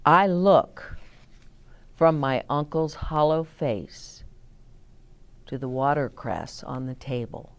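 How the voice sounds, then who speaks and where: A woman reading picture books aloud to children as a storytime host, in a deliberate, explaining way. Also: low voice. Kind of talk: expressive read-aloud for children with asides to listeners.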